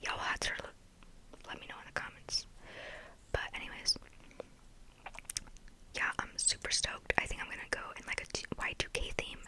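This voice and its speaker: A young woman from Texas chatting casually in a soft whisper for ASMR, full of "like" fillers. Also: normal speed, pauses often, rough recording. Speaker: ASMR creator.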